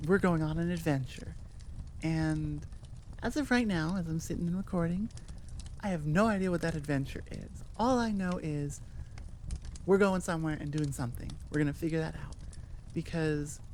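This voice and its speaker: Female audio creator, slightly low voice, informal rambling outro, enthusiastic and chatty, some background noise. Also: darkish tone. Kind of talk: casual rambling outro chat to listeners.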